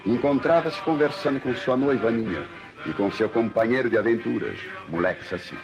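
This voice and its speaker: An actor with a Brazilian accent as the formal, solemn narrator of an old adventure radio serial. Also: male voice, medium pitch, a little fast, lightly acted. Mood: ominous. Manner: dramatic, formal. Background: clear music.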